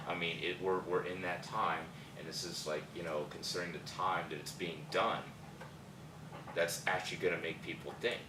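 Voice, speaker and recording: An American man chatting casually in a group, rambling and colloquial in an animated way. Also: low-pitched, moderate pace. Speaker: actor at a table read. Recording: distant mic, echoey room, background noise.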